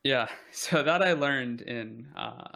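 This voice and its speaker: A man's voice: mid-range voice, takes it slowly, creaky, pushed and bright. A young man from the United States in a casual interview, talking hesitantly with many fillers and self-deprecating asides. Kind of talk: casual conversation.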